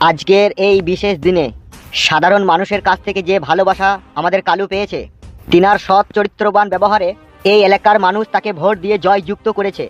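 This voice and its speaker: A man's voice: high-pitched, flat delivery, fairly quick, close to an everyday voice. A man playing a solemn, ceremonious cartoon announcer giving a formal speech, in a dull lo-fi recording over music. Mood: solemn, proud. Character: formal male announcer at village election victory.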